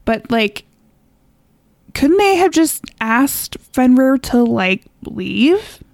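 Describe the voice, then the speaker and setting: Gravelly-edged woman, casual co-host. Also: high voice, very animated, extremely slow, darkish tone, no room sound, clean studio sound. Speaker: podcast co-host. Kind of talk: casual chat.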